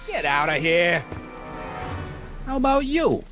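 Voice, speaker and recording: A man's voice: sits high, sing-song, slow, music in the background, exaggerated voice. An actor playing a brash, bullying American gangster boss in a video game, with sarcastic, domineering tough-guy talk and old-time slang. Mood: irritated, threatening.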